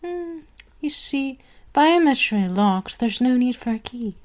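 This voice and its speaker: A woman's voice: high-pitched, expressive, measured pace. A woman playing an imperious, sadistic vampire queen in an ASMR roleplay, formal and commanding, seductive and possessive as she savors each phrase. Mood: gloating, menacing.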